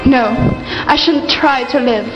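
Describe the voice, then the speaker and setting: A woman's voice: high-pitched, slow. A woman playing a devoted, despairing wife, tearful and melodramatic, in an amateur radio play. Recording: muffled, long reverb, music behind.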